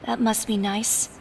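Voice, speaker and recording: An actress playing a determined but wistful teenage superhero in an audio drama, speaking casually and plainly. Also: female voice, fairly high pitch, vocal fry, a little mellow, heavily acted. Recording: slightly distant, faint noise.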